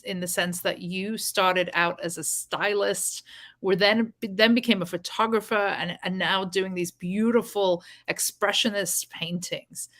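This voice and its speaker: Upbeat woman hosting a chat. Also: mid-range voice. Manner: upbeat, chatty, many restarts. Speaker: interview host. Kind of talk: casual interview.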